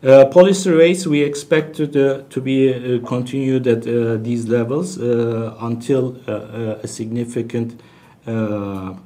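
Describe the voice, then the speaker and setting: A man presenting financial results as a bank executive, speaking in a halting, hesitant way with searching pauses. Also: mid-range voice, steady tone, dark tone. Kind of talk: business presentation, unscripted financial briefing.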